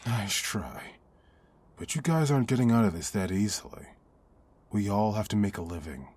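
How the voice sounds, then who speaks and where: A man playing a menacing street mugger in an ASMR audio drama, with a rough, husky voice and a taunting, threatening manner. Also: fairly low pitch, lively intonation, moderate pace, darkish tone. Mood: sneering, menacing. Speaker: voice actor.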